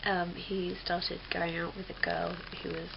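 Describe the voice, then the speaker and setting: A woman's voice: fairly low pitch, flat delivery, a little slow, vocal fry. Young woman chatting in a lo-fi recording. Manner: rambling, chatty, many fillers. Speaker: vlogger. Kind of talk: casual chat. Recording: dull lo-fi webcam audio with faint hiss.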